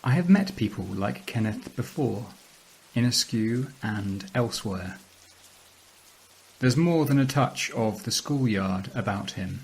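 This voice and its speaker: Male actor as sullen loner narrating horror audio drama. Lowish voice, measured and literary, hiss behind. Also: expressive, a little mellow, poor audio. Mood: brooding, disdainful. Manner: measured, brooding, literary delivery. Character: weary, socially awkward, sullen male narrator. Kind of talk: audio drama first-person monologue.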